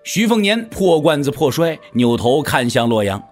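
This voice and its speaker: A man with a husky voice giving dramatic narration for a wuxia audio drama. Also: on the high side, sing-song, moderate pace, soft background music.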